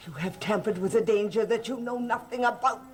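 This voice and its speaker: Soft music plays under an actress as an imperious woman guarding an old family. She is solemn and commanding, questioning others sternly in the grand manner of gothic soap opera. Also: fairly high pitch, brisk, lightly acted. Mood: alarmed, demanding.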